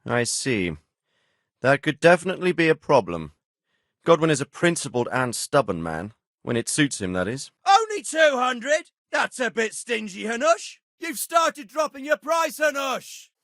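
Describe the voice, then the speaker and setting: A man's voice: high voice, wildly swooping pitch, fairly bright tone, no echo, full character voice. Grave medieval nobleman commander, dry recording. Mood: shouting, forceful. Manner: measured, commanding, formal. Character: grave middle-aged medieval nobleman commander, authoritative, fatherly. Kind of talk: dramatic video game dialogue acting.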